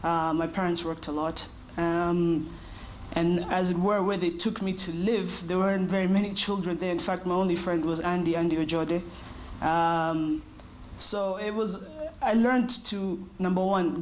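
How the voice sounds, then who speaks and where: A woman in her thirties answers interview questions in a measured, reflective way. Her voice sits fairly low and her pace is unhurried. A faint noise hums behind her. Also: fairly even intonation, low-quality recording. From Kenya. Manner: thoughtful, measured, with short pauses. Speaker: interview guest.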